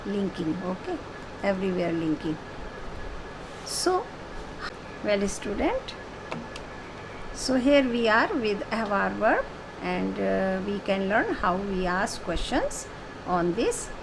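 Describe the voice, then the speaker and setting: A woman teaching a French lesson online, explaining slowly with many fillers and repeated phrases. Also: medium pitch, sing-song, vocal fry, background noise. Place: home.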